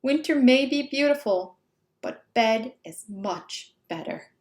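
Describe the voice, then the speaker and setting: A woman reads as a grumpy, anxious little storybook toad who protests bluntly. The voice is high and the words come slowly, with emphatic delivery. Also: animated, a little mellow. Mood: grumpy, reluctant. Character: Toad, grumpy reluctant anxious male toad friend. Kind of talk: children's storybook read-aloud.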